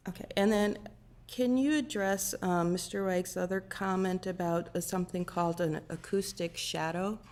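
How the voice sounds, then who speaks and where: A woman's voice: lowish voice, steady tone, normal speed, a little mellow. A woman on a commission asking clarifying questions of a consultant at a public hearing.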